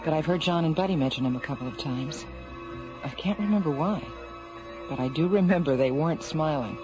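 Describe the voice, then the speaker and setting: Casting: actress as brisk, composed female scientist in charge, rapid natural delivery for sci-fi radio play, music underneath. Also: low-pitched, natural voice. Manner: conversational, brisk. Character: brisk, composed female space station research director. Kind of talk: radio drama acting. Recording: dull old radio drama, music behind.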